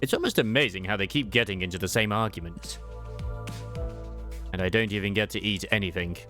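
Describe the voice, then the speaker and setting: A man reading a game story as dramatized first-person narration, with expressive, bewildered exclamations. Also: fairly low pitch, brisk, soft background music. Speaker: fan dubber and game reader.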